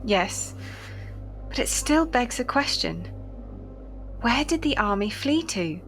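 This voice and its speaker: A woman acting as a resolute young-sounding Victorian nurse in a horror radio play. Her voice is high and swings widely in pitch, with earnest, commanding delivery over clear background music. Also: lively intonation, slow, a bit bright. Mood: wondering. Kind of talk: dramatic audio drama acting.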